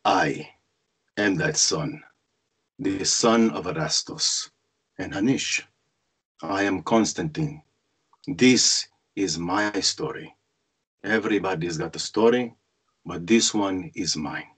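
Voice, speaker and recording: A man's voice: low voice, slow, long pauses, a bit bright, husky, exaggerated voice. A man playing a wry, brooding grown son who narrates his parents' story in a screenplay cold read over a video call. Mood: solemn. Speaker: actor reading narrator part. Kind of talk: dramatic first-person narration at a cold read. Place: indoor room on a video call.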